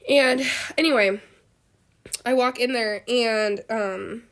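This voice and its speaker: A woman's voice: fairly high pitch, takes it slowly. A woman co-hosting a podcast in a casual, chatty storytelling way, slightly stuffed up with a head cold and full of filler words and quoted dialogue. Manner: chatty, filler-heavy, quotes people animatedly. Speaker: podcast co-host. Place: bedroom, sitting on a bed.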